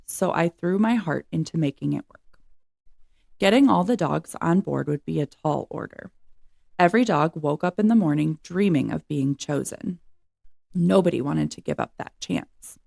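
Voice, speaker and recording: A woman's voice: fairly low pitch, vocal fry, a little mellow. A woman reading a children's story aloud as narrator. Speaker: reader of a children's book.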